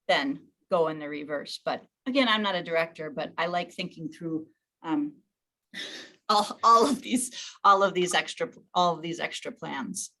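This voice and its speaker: A woman speaking informally as she leads an online meeting, thinking out loud in a conversational way. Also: on the low side. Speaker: league official leading an online meeting.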